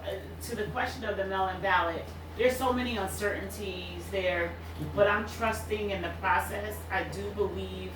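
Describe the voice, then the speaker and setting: A woman in her forties, a political candidate, speaking earnestly and at measured length as a guest on a radio show. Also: mid-range voice, fairly even intonation, reverberant, recorded from a distance, noisy background. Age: 40s. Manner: earnest, measured, speaks in long reflective runs. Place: radio studio.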